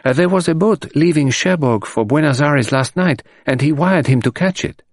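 A man's voice: a little high, lively intonation, normal speed, dry recording, clean studio sound, voice pushed up. A man voicing a dignified, grieving middle-aged French widow who speaks in a composed, formal, restrained way. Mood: earnest.

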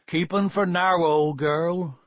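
A man voicing a gruff but soft-hearted old farmer in an audiobook, with blunt, solemn rustic speech. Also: on the high side, fairly even intonation, takes it slowly, no echo, highs cut off, pitched above the performer's own voice. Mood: gently relenting.

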